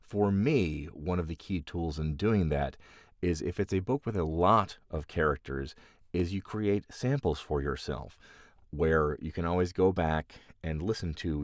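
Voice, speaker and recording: A man's voice: low voice, no room sound, studio quality. A man who works as an audiobook narrator and voice actor, explaining his craft in casual talk.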